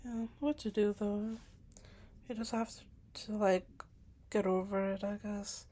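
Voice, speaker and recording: A woman's voice: medium pitch, fairly even intonation, measured pace. A young woman in a casual, personal vlog monologue. She talks hesitantly and self-consciously, fills her sentences with filler words, and sometimes drops to a whisper. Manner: soft-spoken, at times dropping to a whisper. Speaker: vlogger.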